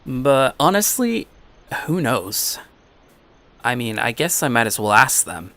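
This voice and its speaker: A man playing an anxious, introspective high school boy who narrates his own story. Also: big pitch swings, slow, pushed and bright, exaggerated voice. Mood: puzzled. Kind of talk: first-person scripted story narration. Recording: music under the voice.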